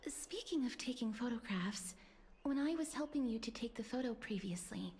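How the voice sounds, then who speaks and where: A woman playing a gentle, melancholy young game heroine who speaks slowly, softly and formally in a breathy hush. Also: on the high side, a little hiss or hum, lightly acted. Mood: shy, gentle. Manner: slow, hushed, breathy, formal delivery. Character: gentle, melancholy, bookish young woman, soft-spoken and courteous. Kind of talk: video game voice acting, story dialogue. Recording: game music behind the voice.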